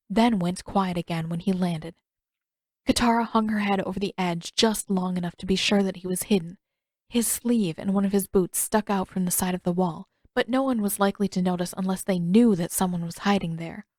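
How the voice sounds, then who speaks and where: A woman's voice: medium pitch, darkish tone, no room sound. Woman narrating fiction, very dry sound. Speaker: amateur audiobook narrator.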